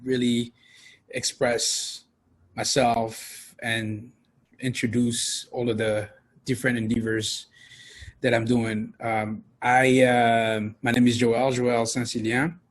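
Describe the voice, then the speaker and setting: A man's voice: medium pitch, little pitch movement, slow pace. A middle-aged man, a guest talking about his life in a casual interview, hesitant with many fillers. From France.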